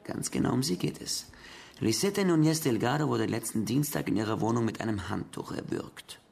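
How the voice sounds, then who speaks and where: An actor playing a police detective in a German radio crime drama, questioning methodically with a dry, needling confidence. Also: male voice, mid-range voice, animated, normal speed, vocal fry, darkish tone. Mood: methodical, pressing. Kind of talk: German radio play acting, police interrogation.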